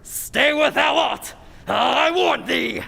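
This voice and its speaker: An actor playing the vengeful ghost of an old lord in a radio drama, hurling archaic threats in a raspy, strained voice. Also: male voice, extremely high pitch, slow, bright, forceful tone, full character voice. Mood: menacing fury. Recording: long cavernous reverb.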